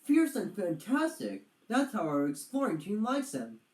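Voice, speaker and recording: A bold storybook turtle gentleman acted by a woman speaks with theatrical pomp. He is chummy and confident, with a very animated delivery in a roomy, echoing space. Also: mid-range voice, measured pace, far from the mic, voice pushed up. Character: hearty, confident adventurous male turtle, chummy gentleman explorer.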